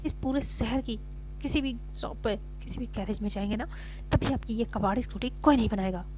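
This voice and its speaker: A woman's voice: fairly high pitch, moderate pace, a little mellow, a little hiss or hum, close to an everyday voice. A woman voicing an annoyed male mechanic, curt and scolding, with little change from her own voice. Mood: irritated. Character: irritated, blunt male motorbike mechanic.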